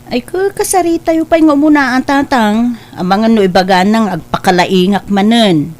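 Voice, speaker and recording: A woman playing a gentle, devoted mother. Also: on the high side, moderate pace, natural voice. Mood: earnest.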